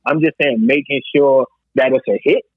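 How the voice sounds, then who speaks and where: A man's voice: mid-range voice, steady tone, moderate pace, dry recording. A man on a podcast panel in a casual, emphatic debate, swearing freely. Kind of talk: casual hip-hop debate. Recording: thin phone-like line.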